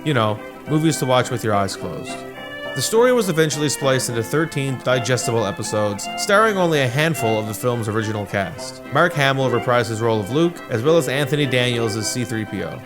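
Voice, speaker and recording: A man's voice: medium pitch, animated, a little fast. A man hosting and narrating a scripted video essay, with music playing behind his voice.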